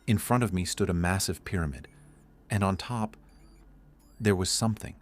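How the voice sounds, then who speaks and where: An actor playing a paranoid, haunted scientist slipping into madness in an audio drama. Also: male voice, low-pitched, normal speed, close to an everyday voice. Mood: uneasy, haunted.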